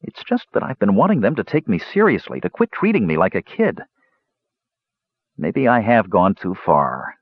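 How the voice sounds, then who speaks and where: A man's voice: fairly low pitch, very animated, normal speed, breathy edge, dry recording, highs cut off, exaggerated voice. A man voicing an earnest, touchy, studious youngest brother, a prim young mage in a fantasy audiobook. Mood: doubtful.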